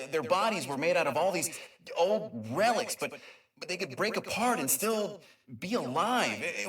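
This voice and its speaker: Game role: excitable, nerdy, kind creature inventor, stammering through informal logs; lightly high male voice, echoey and a bit off-mic. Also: distant mic. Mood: awed, rambling. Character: eager, nerdy, kind male Lombax inventor and dimension mapper. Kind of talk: informal recorded audio log in a video game.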